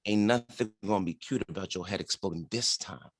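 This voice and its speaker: A man's voice: deep voice, a little fast, no room sound, clean studio sound. An actor playing a threatening, demanding gunman in a dramatic table read. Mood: menacing, impatient. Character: menacing gunman holding women hostage.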